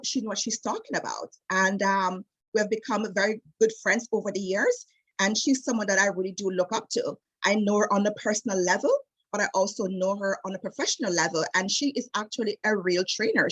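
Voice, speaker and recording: A woman in her late forties with a Jamaican accent, a wedding travel specialist chatting as an interview guest in a lively storytelling way, with many fillers and restarts. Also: medium pitch, poor audio. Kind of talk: casual interview chat.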